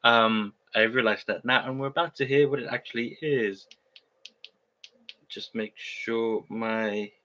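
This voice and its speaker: Young male streamer, chatty and halting, slow unhurried delivery, bright tone. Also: lowish voice, muffled recording. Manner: rambling, halting, with many restarts. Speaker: livestreamer. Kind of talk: casual livestream chat.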